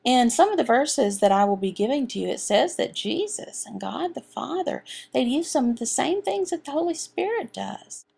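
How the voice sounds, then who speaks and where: A woman voicing a signed sermon as interpreter, in a halting, phrase-by-phrase delivery. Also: sits high, very animated, creaky, darkish tone, husky. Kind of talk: sermon voiced from sign language.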